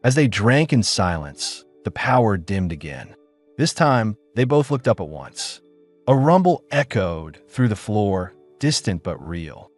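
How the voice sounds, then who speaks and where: A man with a low voice narrates a story in a scripted, dramatic way. His pitch rises and falls in a lively manner. Faint music plays behind him. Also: unhurried. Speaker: audiobook narrator.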